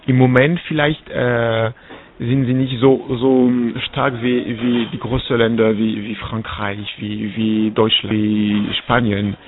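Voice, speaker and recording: A man's voice: mid-range voice, little pitch movement, slow pace, a crowd in the background. A Frenchman speaking German with a French accent, hesitating and repeating words as he looks for them in an interview. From France. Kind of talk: halting interview answers. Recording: tinny, phone-like radio sound.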